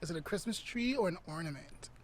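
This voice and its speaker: A woman's voice: fairly low pitch, expressive, slight background noise. A woman co-hosting a podcast over a remote call, chatting casually and drawing out her guesses in a word game. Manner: drawn-out guessing questions, playful. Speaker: podcast co-host. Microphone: remote call mic.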